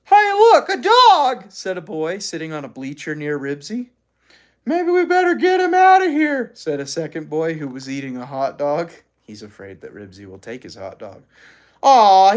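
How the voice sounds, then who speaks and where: Expressive read-aloud narrator for children's fiction, mid-pitched, with wide swings in intonation. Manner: animated, acts out characters' lines. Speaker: storyteller reading aloud.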